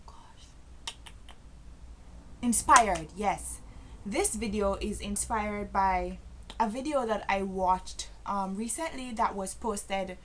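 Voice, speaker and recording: A woman's voice: mid-range voice, slow pace, pauses often, a little hiss or hum, poor audio. A Jamaican woman talking casually to camera, earnest and full of fillers and restarts, growing emphatic and preachy as she goes. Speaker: vlogger.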